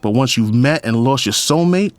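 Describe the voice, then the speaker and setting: A man's voice: mid-range voice, animated, normal speed, noisy background, lightly acted. A man playing a lonely, wry, middle-aged police captain who narrates his story in an audio drama. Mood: wistful. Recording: faint music behind the voice.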